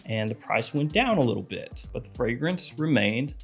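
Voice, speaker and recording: A man's voice: mid-range voice, normal speed, faint music behind. A man in his thirties with a head cold, chatting animatedly as he reviews fragrances in a small room. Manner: chatty, animated, gesturing with hands. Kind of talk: casual video review. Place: small room with lit shelves of bottles.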